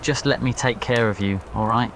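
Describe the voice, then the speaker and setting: A man voicing a devoted, protective young wizard boyfriend in an audio roleplay, quiet, tender and reassuring. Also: medium pitch, fairly even intonation, normal speed, slight background noise, natural voice. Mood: warm, caring.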